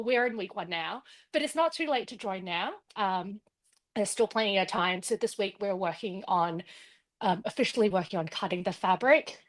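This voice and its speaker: A woman guest explaining a quilt project in a friendly, rambling way with many fillers. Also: mid-range voice. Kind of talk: casual demonstration.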